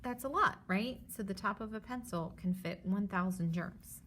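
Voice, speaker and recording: A woman's voice: mid-range voice, normal speed, fairly bright tone, a little hiss or hum. A woman teacher reading a children's book aloud, stopping to explain things simply and reassure her young listeners.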